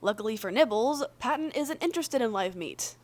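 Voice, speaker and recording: A woman's voice: a little high, expressive, brisk. A woman acts out an exasperated, sarcastic man from an audio story. The delivery is snappy and argumentative, always on the defensive.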